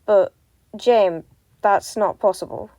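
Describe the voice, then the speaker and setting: A woman's voice: medium pitch, takes it slowly, warm tone, no echo. A woman voicing a helpful, casual male elf king in a fan fiction audio reading. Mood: puzzled. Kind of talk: amateur podfic character reading.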